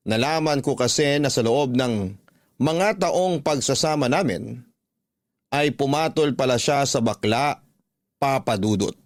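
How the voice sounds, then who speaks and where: A man's voice: medium pitch, unhurried, long pauses, no echo. Man narrating, dry close studio sound. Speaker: radio drama narrator and host. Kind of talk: scripted first-person story narration, reading a listener's letter.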